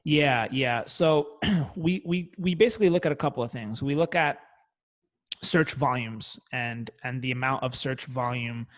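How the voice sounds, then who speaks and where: A man's voice: medium pitch, a little slow, fairly bright tone. A young man in casual chat, talking loosely with frequent swearing, fillers and restarts. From Italian family, parents from Naples. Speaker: guest marketer.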